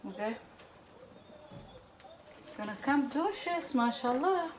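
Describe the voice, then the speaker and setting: A woman from Somalia with a Somali accent, hosting a casual home cooking demonstration and chatting in halting English mixed with Somali. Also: high-pitched, animated, slight background noise. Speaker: cooking channel host.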